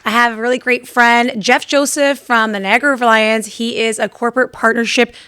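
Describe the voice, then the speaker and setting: A middle-aged woman hosting a casual interview show, chatty and quick to laugh. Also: a little high, fairly even intonation, bright, forceful tone, clean tone, dry recording. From Canada. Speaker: podcast host.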